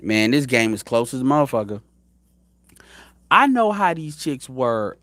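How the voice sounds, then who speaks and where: A man's voice: medium pitch, sing-song, measured pace, fairly bright tone, dry recording. A man in his thirties giving blunt, profane opinion commentary as a show host, rambling with frequent restarts. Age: 30s.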